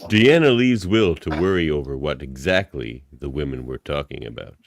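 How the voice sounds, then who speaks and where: A man's voice: rumbling low, lively intonation, normal speed. A man narrating a comic radio play, reading out scene directions as a podcast narrator.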